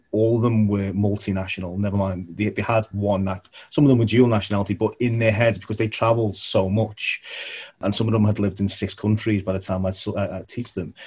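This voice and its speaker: A man who used to teach, talking in a measured, explanatory way during a conversation, sometimes repeating words as he thinks. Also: fairly low pitch, darkish tone. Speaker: former teacher. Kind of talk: reflective conversation.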